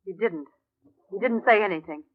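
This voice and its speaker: A woman's voice: mid-range voice, normal speed, narrow, tinny audio, natural voice. A woman playing an uneasy, earnest young informant, hesitant and confiding in old radio drama style. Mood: wary. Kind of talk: 1940s-50s radio drama acting. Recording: thin, noisy old radio recording.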